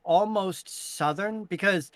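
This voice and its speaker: A man's voice: sits high, measured pace, a bit bright, dry recording. A middle-aged man from Maine chatting and telling anecdotes informally. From Maine, USA. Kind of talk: casual anecdotal group chat.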